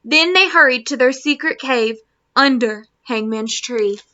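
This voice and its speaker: Bright, high-voiced woman reading a storybook. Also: muffled recording. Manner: expressive, deliberate reading with questions to listeners. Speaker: teacher reading aloud to students. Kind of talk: children's storybook read-aloud in Spanish and English.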